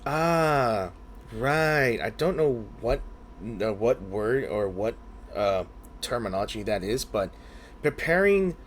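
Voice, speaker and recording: A man's voice: medium pitch, animated, slow pace, a little hiss or hum. A man doing casual gameplay commentary in a skeptical, rambling manner. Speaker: gaming video creator.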